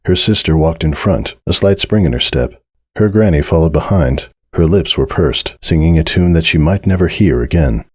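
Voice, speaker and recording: A man's voice: rumbling low, darkish tone, no echo, clean studio sound. A man narrating a scripted story as a dramatic reading.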